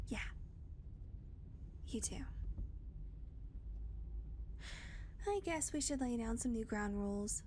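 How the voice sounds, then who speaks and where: A woman voicing a blunt, foul-mouthed female vampire in an audio roleplay, talking sarcastically and dropping into soft murmurs. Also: fairly high pitch, slight background noise, close to an everyday voice. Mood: wry.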